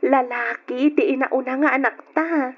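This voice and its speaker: High-voiced, affectionate young wife. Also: female voice, steady tone, moderate pace. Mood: happy. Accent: Filipino. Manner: fond, gently chiding. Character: affectionate, practical young wife and expectant mother. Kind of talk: old-style radio drama acting. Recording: dull, muffled old radio drama recording.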